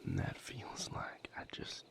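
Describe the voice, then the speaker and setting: A man playing a weary, wounded vampire healer in an intimate audio roleplay, speaking in a breathy, gravelly, halting and earnest way. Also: fairly bright tone, lightly acted. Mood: troubled, reflective. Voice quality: breathy, gravelly, half-whispered. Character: weary, wounded male vampire healer leaving his house.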